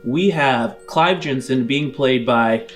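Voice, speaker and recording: A man's voice: medium pitch, slow, soft background music. A man hosting a game podcast as game master in a casual, conversational style.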